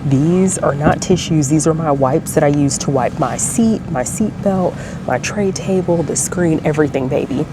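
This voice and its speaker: A chatty woman talks to her viewers in a low voice that has a slight throat tickle, calling them y'all. She is recording on a handheld phone from her airplane seat. A steady cabin hum sits clearly under her voice. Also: normal speed. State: slight throat tickle, a bit deeper than usual. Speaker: travel vlogger. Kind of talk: casual vlog chat to camera.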